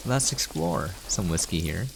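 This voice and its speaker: Low-voiced man giving casual game commentary. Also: lively intonation, a little slow, gravelly, noisy background. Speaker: video game streamer. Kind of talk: casual gameplay commentary, explaining as playing.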